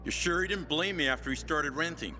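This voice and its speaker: Glib, higher-voiced scheming trader. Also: male voice, brisk, bright, forceful tone. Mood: defensive. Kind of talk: video game voice acting.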